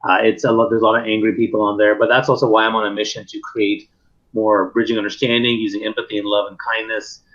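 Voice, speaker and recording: A man's voice: on the low side, little pitch movement, quick pace, a bit bright. Man hosting an interview, muffled audio. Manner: thoughtful, listing points, frequent fillers. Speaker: interview host, keynote speaker. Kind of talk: casual interview conversation.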